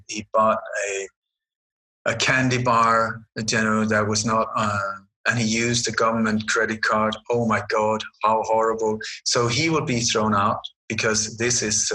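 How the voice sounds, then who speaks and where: A man's voice: medium pitch, pushed and bright, rough recording. A middle-aged man from Sweden, answering interview questions in an emphatic, sarcastic storytelling manner. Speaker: guest researcher.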